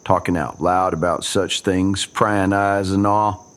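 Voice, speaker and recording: A cautious townsman from a western radio play. His voice sits very low, and he talks in a folksy, hesitant manner, as if minding who might overhear. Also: fairly even intonation, measured pace, fairly bright tone. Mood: wary. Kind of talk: old-time western radio drama acting.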